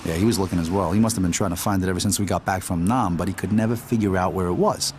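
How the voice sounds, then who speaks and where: Guy acting a tough streetwise mob vet, low voice, talks quick, sweary, crime game style. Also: darkish tone, slight background noise, natural voice. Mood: reflective, gritty. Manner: profane, streetwise. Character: hardened mobster Vietnam veteran recounting his past.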